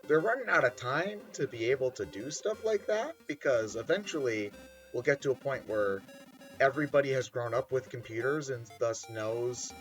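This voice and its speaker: Bright-voiced man bantering over games. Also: mid-range voice, expressive, moderate pace, faint music behind, poor audio. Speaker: commentator on a game playthrough. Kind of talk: casual group banter commentary.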